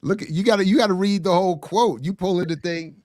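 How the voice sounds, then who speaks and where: A man's voice: high-pitched, fast talker, darkish tone, dry recording, studio quality. A man in casual panel chat who often repeats words as he builds his points.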